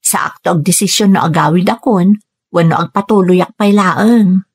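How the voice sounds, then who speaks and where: A woman's voice: lowish voice, normal speed, husky. A woman playing a young, dutiful, burdened Filipina daughter working overseas in an Ilocano radio drama, earnest and plaintive, with an Ilocano accent. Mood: wistful, earnest. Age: young adult. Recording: music bed under the voice. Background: music.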